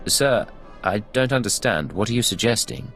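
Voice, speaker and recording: An actor playing a cool, obedient imperial secret agent in a video game, formal and deferential. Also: male voice, mid-range voice, lively intonation, moderate pace, creaky, fairly bright tone. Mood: composed, questioning. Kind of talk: game dialogue acting. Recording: music behind the voice.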